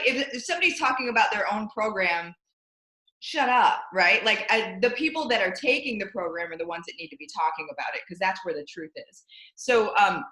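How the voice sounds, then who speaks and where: A woman hosting a casual interview, speaking emphatically in quick bursts. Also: mid-range voice, bright, forceful tone. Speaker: host. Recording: echoey room.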